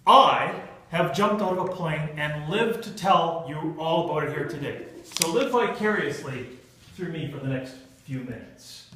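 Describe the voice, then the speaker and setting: A man giving a humorous storytelling speech to an audience in a theatrical manner, with dramatic pauses and stretched emphasis. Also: a little high, bright, forceful tone. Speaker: Toastmasters club speaker telling a humorous tale. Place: indoor meeting room with an audience. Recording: echoey room, recorded from far off.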